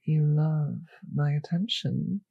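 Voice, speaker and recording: A woman acts out a refined female vampire for an intimate audio drama, possessive and predatory. Her voice is husky and close, recorded very dry, with an unhurried pace. She teases with condescending endearments and slips into whispers. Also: low-pitched, warm tone, dry recording, muffled recording, heavily acted. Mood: hungry, seductive. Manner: slow, condescending, teasing endearments, drifting into whispers.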